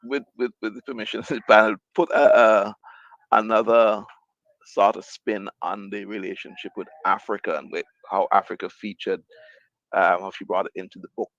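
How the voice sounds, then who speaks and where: A man's voice: on the low side, steady tone, normal speed, fairly bright tone, dry recording. A man on a book club panel, musing tentatively with hesitant fillers. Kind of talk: casual panel discussion. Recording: dull, dry lo-fi sound.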